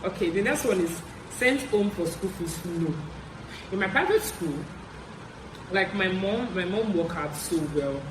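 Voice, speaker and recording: A young adult man with a Nigerian accent tells stories casually, in an animated way, repeating phrases for emphasis as a vlogger. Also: high voice, unhurried. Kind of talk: casual story-time chat. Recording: roomy echo, clear background noise.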